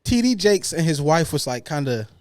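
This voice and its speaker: Young woman podcast co-host, emphatic storyteller, animated pitch. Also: normal speed, no echo, studio quality. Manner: storytelling, emphatic, repeats her points. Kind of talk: casual chat.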